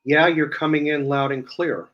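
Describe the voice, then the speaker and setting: A man's voice: on the high side, fairly even intonation, normal speed, fairly bright tone, dull audio. A man explaining an app as a guest in casual chat, rambling and repeating himself. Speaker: guest demonstrating a phone app.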